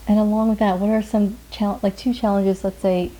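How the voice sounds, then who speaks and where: A woman's voice: medium pitch, level tone, brisk, warm tone, poor audio. A woman interviewer speaking casually and supportively to her guest. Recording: hissy background noise.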